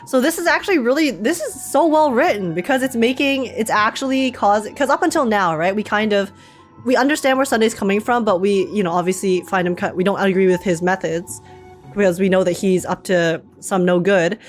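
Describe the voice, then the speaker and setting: A woman streamer giving casual, slangy live reaction commentary on a game, thinking aloud in an animated way. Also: medium pitch, a little fast, faint music behind.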